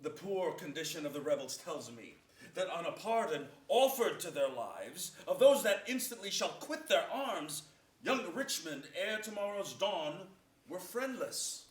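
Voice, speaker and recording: A man's voice: high voice, big pitch swings, unhurried. An actor playing a loyal noble lord counselling his king, formal and declamatory, in a Shakespearean stage reading. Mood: earnest. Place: theater stage before an audience, indoors. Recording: echoey theater, distant microphone.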